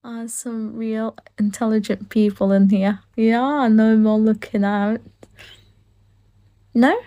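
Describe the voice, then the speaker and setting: A woman's voice: fairly high pitch, fairly even intonation, slow, warm tone. Giggly Filipino-accented young woman streaming. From Philippines. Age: young adult. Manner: gushing, giggly, thanking viewers. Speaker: live streamer. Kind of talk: casual live-stream chat reading comments. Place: indoor room. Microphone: phone held close at arm's length.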